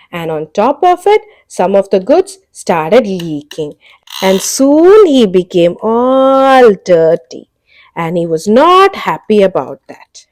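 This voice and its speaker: A woman's voice: sits high, a little mellow, rough recording. A woman telling a story to children in a playful way. She makes vehicle sound effects and keeps asking the listener questions. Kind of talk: animated storytelling for kids.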